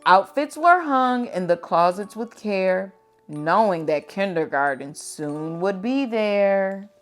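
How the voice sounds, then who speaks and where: A woman reads a picture book aloud as a teacher would to young children. Her delivery is slow and deliberate, with dramatic expression and a medium pitch. Faint music plays behind her. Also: animated.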